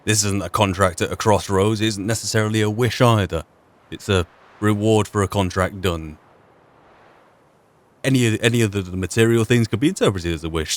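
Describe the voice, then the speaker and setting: A man giving reflective commentary on a role-play video game playthrough, weighing things up and posing rhetorical questions. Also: lowish voice. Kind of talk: musing gameplay commentary.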